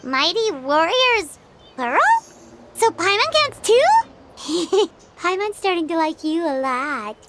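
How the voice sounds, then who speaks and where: A woman voicing a tiny floating girl companion in a game, excitable, food-loving and speaking of herself by name. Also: very high voice, takes it slowly, very noisy, heavily acted. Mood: eager.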